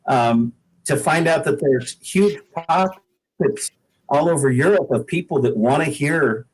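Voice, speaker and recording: A man's voice: fairly high pitch, a little slow, breathy edge, low-quality recording. An American man from the Pacific Northwest, a singer-songwriter being interviewed, tells stories in a casual, folksy, modest way. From Pacific Northwest, United States. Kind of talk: casual conversational storytelling.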